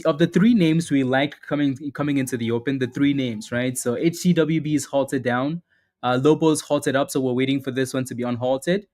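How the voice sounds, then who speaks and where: A man with a mid-range voice hosts a live trading stream in a loose, upbeat way. He repeats himself and calls viewers by name, and he sounds a little hoarse, clearing his throat now and then. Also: medium pitch, darkish tone. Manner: casual, upbeat, repeats phrases, greets chatters by name. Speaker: stock trading livestream host. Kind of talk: live market commentary with chat shout-outs.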